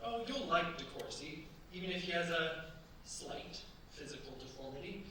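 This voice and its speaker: An actor playing an eager, doting young French bridegroom in a staged radio play, with a courtly, effusive manner. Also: male voice, a little high, expressive, normal speed, sharp tone. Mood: proud, enthusiastic. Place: staged performance in a cultural centre. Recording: very echoey hall, distant mics, faint hiss.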